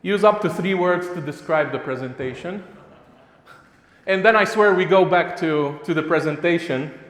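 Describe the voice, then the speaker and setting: A man's voice: a little high, normal speed, a bit bright. Chatty Romanian man in an echoey hall. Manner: chatty and self-mocking, with frequent "like" and restarts. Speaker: conference speaker. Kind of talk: live tech conference talk. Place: conference hall before a live audience. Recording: echoey hall with a long reverb.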